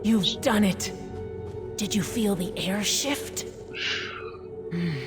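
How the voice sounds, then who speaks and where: A woman's voice: mid-range voice, slow, close to an everyday voice. A pensive female lizard creature from a video game, acted by a woman. She questions and wonders uneasily, her voice trailing long reverb over faint music. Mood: pensive, uneasy. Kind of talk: video game character acting.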